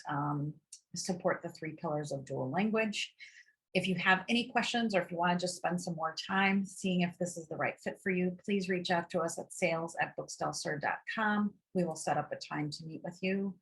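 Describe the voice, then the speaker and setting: A woman's voice: on the low side, fairly bright tone, poor audio. Nasal Wisconsin woman presenting. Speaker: presenter of educational resources. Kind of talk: informal webinar walkthrough.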